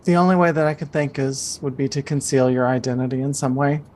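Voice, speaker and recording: A man with a medium-pitched voice runs a tabletop role-playing game. He explains options and narrates in a conversational way. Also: fairly even intonation, quick pace, a little mellow. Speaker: game master.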